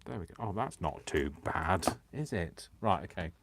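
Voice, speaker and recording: A man from Coventry with a Coventry English accent softened by years in Yorkshire, chatting and teasing himself as he demonstrates crafts live. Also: low voice, expressive, moderate pace, background noise. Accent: Coventry English, worn by years in Yorkshire. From England. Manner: chatty and self-mocking, talks to himself and the viewers. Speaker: crafting livestreamer.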